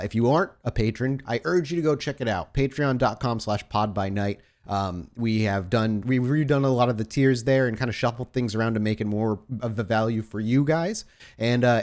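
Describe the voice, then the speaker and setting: A man's voice: on the low side, a little mellow. A man speaking as a podcast host and game storyteller, with an informal delivery. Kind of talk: casual podcast chat, then slow scene narration for a role-playing game.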